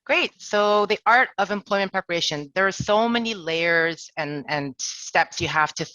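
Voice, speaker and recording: A woman's voice: fairly low pitch, moderate pace, sharp tone, dry recording, dull audio. A woman presenting an online career workshop as an HR director, chatty and self-deprecating with jokes. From Toronto, Canada. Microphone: video call.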